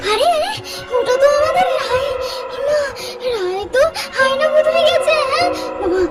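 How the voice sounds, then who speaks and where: A woman voicing bossy, excitable little doll children in a cartoon story, chatty and insistent, repeating phrases. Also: very high voice, voice pushed up. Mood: anxious. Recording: loud music behind the voice. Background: children's music.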